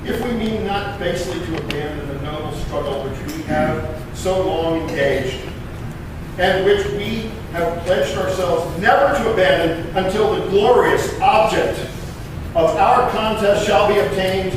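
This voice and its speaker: An impassioned patriot statesman of the 1700s, played by a man, delivers grand, declamatory oratory in a high male voice. He takes his time, with weighty pauses. The sound is distant and very echoey, with clear noise throughout. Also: expressive. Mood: defiant, rousing. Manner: declamatory, emphatic, deliberate pauses. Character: impassioned 18th-century American patriot statesman orating. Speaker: living historian performer. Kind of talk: dramatic historical oratory reading. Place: historic courthouse room. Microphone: distant microphone.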